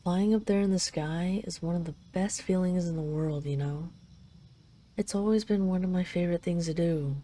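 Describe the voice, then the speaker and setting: Woman acting a mellow, low-voiced tender dragon queen. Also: normal speed, close to an everyday voice. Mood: wistful, teasing. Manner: teasing, intimate, coaxing. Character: possessive yet tender dragon queen doting on her captive. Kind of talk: intimate roleplay audio addressed to the listener.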